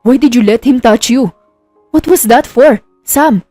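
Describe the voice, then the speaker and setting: A woman's voice: on the high side, unhurried, warm tone, no echo, faint music behind. A woman voicing a possessive, stern young husband in an audio drama romance. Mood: angry, frustrated.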